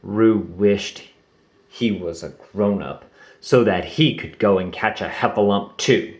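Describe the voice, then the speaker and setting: A man narrating a children's book aloud in an expressive storytelling manner. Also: lowish voice, slow.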